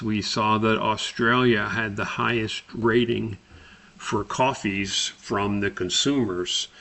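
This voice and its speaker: A man with a low, creaky voice walks through a software tutorial step by step. He speaks informally and haltingly, thinking aloud at an unhurried, slow pace. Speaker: tutorial presenter. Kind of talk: informal software screencast walkthrough.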